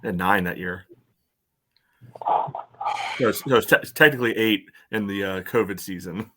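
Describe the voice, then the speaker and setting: Bright, low-voiced man asking questions. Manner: questioning, measured. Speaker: podcast co-host. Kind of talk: casual chat.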